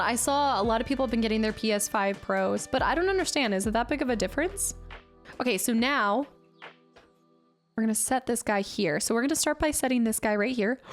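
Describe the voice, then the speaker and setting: Higher-pitched woman streaming casually over music. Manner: chatty, murmuring to self and replying to viewers. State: slightly congested. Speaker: livestream host. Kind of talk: casual live stream chat while building.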